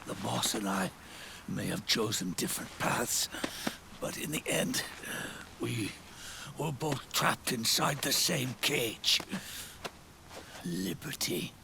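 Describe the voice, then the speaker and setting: An actor playing a weary, dying old soldier in a video game cutscene, solemn and halting, with a weak, breathy rasp. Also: male voice, mid-range voice, animated, background noise, heavily acted. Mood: bitter reflection. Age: older. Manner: slow, halting, solemn, with long pauses. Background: orchestral music.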